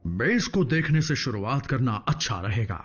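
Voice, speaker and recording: A man voicing a grave, trusting robot commander with a formal, measured, authoritative manner in a cartoon dub. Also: medium pitch, very animated, a bit bright, slightly rough. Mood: grave, courteous. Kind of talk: animated series dub acting. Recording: dull, lo-fi dub audio.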